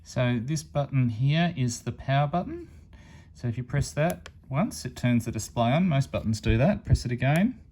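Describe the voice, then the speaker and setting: A man's voice: mid-range voice, lively intonation, moderate pace, warm tone, slight background noise. A man casually demonstrating a gadget hands-on, explaining it step by step in a matter-of-fact way. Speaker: amateur radio reviewer and channel host.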